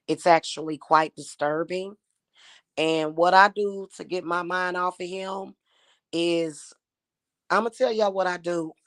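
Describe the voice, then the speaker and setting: A woman in her thirties, a spiritual YouTuber talking casually to her viewers, her voice slightly hoarse and her talk aggrieved and repetitive. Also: on the low side, no echo, studio quality. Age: 30s. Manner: aggrieved and repetitive, with frequent fillers.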